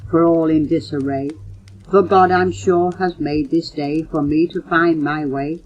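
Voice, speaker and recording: An older woman reading poems aloud in a measured, earnest way, recorded on a muffled old home cassette. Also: low voice, fairly even intonation, a little mellow. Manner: measured, earnest recitation, announcing titles and authors. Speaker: mother reading poems for her children. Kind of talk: recited poetry reading.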